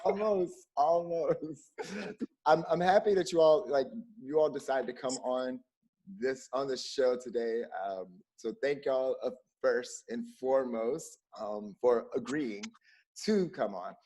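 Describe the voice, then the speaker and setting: Slow-talking laid-back male host. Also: medium pitch, darkish tone, poor audio. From Virginia, United States. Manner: easygoing, laughs often between questions. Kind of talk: casual video-call conversation.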